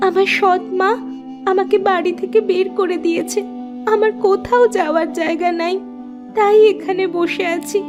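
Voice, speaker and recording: A woman's voice: extremely high pitch, steady tone, moderate pace, over music. A woman voicing a sorrowful, pleading, mistreated young girl in a cartoon. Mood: sad. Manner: plaintive, pleading. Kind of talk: cartoon dialogue acting.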